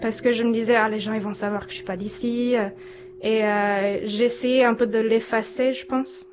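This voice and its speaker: A woman's voice: fairly high pitch, steady tone, moderate pace. A woman speaking French with a foreign accent, reflective in tone. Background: music.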